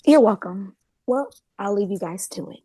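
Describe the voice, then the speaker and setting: A woman's voice: mid-range voice, normal speed, mellow, breathy edge, dry recording, close to an everyday voice. A woman in a table read playing a gossipy, conversational female office assistant in a drama. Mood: chatty, flustered. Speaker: actor in a script table read. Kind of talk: dramatic script reading.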